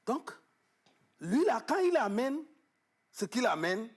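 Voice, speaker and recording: A man being interviewed in a television studio, explaining at length with emphasis, often pausing on fillers and repeating words. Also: extremely high pitch, big pitch swings, slightly rough. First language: Arabic-educated, French not first. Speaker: guest on traditional knowledge.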